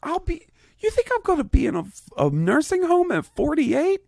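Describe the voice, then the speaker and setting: A man in his thirties co-hosting a podcast in casual chat, rambling and profane, getting excitable. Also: very high-pitched, moderate pace, creaky, no echo, clean studio sound. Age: 30s. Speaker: podcast co-host.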